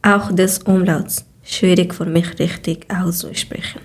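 Woman reading slowly. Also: fairly low pitch, little pitch movement, husky. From Afghanistan. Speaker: podcast co-host. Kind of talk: prepared podcast answers.

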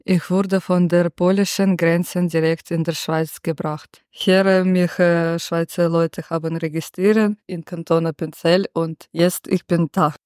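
A woman's voice: on the low side, fairly even intonation, measured pace, hardly any pauses, a little mellow, no room sound, clean studio sound. Woman, hesitant and careful. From Ukraine. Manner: hesitant, careful learner's German with frequent fillers. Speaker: student podcast host. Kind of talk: school podcast interview.

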